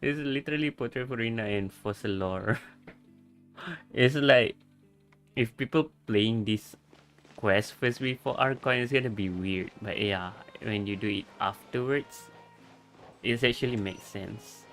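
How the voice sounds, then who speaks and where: A man with a mid-pitched voice gives casual commentary as a game livestreamer. Faint music plays behind him. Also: measured pace, a bit bright.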